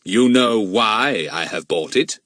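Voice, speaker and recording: Storybook villain, performed by a male reader: menacing, bullying man, slow threatening delivery, close dry sound. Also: fairly low pitch, lively intonation, a bit bright, dry recording. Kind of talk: audiobook character voice.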